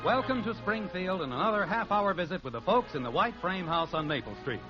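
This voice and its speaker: A man's voice: high voice, expressive, a little fast, muffled recording, music in the background. A man with an American accent announcing in a scripted 1950s radio style on an old broadcast recording.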